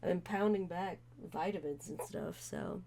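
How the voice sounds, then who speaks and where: A woman's voice: on the low side, measured pace, mellow, slight background noise. A young woman streamer, congested from allergies, chatting in a rambling way with many fillers while playing a visual novel. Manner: rambling, filler-heavy, self-interrupting. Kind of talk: casual let's-play commentary.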